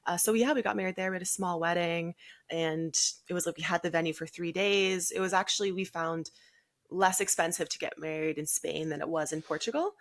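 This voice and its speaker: Chatty woman, slightly low voice. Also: steady tone, brisk. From Canada. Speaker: podcast guest. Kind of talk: casual conversational interview.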